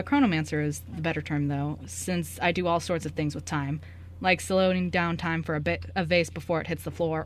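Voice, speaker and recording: A woman's voice: sits low, slight background noise. A woman playing a breezy young male journalist with secret magic in an amateur radio play, talking in a casual, joking, slangy way.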